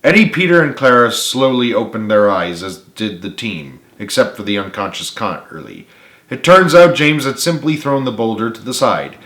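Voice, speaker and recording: A man's voice: medium pitch, expressive, normal speed, a bit bright. A man reading his own adventure story aloud as a dramatic, earnest narrator. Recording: roomy, echoey home recording.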